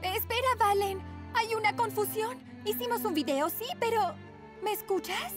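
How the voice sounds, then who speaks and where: Very high, earnest cartoon girl. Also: normal speed. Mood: pleading. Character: earnest, indignant young redheaded cartoon girl, loyal friend. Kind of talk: animated children's cartoon dub. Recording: music behind the voice.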